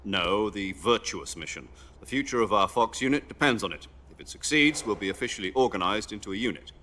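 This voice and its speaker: An actor playing a seasoned, stern military commander who gives measured, authoritative briefings in a cinematic video game. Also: male voice, on the low side, expressive, sharp tone, slight background noise. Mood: firm, serious.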